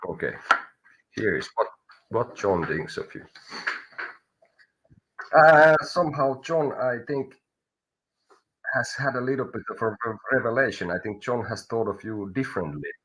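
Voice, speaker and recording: A man with a Finnish accent doing a live tarot reading, halting and searching for words as he goes. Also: medium pitch, animated, fairly bright tone, slightly rough, rough recording. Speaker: tarot reader.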